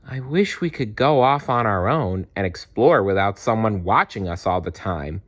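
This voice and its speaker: A man's voice: fairly low pitch, expressive, moderate pace, fairly bright tone, lo-fi sound. A man voicing a shy, curious little boy dinosaur in a storybook, childlike and earnest. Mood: curious, wistful.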